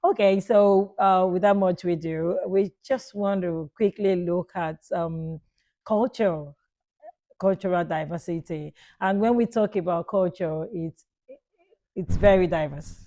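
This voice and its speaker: A woman from Nigeria hosting a podcast panel, speaking casually and hesitantly, repeating herself and filling pauses often. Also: fairly low pitch, mellow, highs cut off. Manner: repetitive, hesitant with many fillers. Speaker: podcast host.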